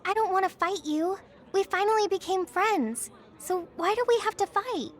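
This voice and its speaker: An actress playing an earnest, emotional and loyal young woman in a video game, with an expressive, pleading manner. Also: extremely high pitch, moderate pace. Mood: dismayed.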